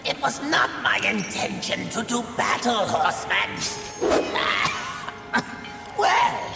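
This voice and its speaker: A man's voice: high-pitched, expressive, slow pace, vocal fry, exaggerated voice. A man voicing a genteel, wily demon merchant in a video game, florid, theatrical and mock-polite. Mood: amused, placating. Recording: echoey hall, loud music behind.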